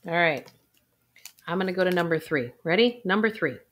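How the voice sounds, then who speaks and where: A woman coaching viewers through a guided intuition exercise, with soft sighs and a calm, unhurried delivery. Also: on the low side. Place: indoors, recording a video.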